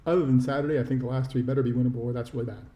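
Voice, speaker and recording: A man with a very dark, soft-edged voice talks sports as a podcast co-host. He moves along quickly in a casual way, and the room rings around him with noticeable echo. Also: mid-range voice, steady tone. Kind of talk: casual sports commentary chat. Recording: echoey, roomy sound.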